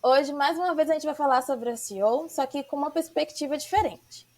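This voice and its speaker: A woman's voice: sits high, a little fast, a little mellow. Chatty Bahian woman podcast host. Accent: Bahian Portuguese. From Salvador, Bahia, Brazil. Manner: casual, chatty, uses slang. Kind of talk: podcast interview.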